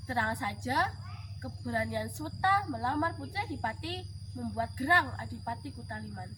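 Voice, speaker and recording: A woman telling a folk tale as scripted narration, with dramatic, deliberate phrasing, as a contest storyteller. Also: fairly high pitch, animated. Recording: clearly noisy background.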